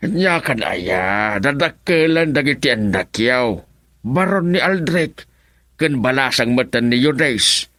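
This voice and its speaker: A man's voice: medium pitch, expressive, slow, sharp tone, no echo, studio quality. A man with an Ilocano accent playing an elderly father who reasons with his grown son in an emphatic, admonishing radio drama style.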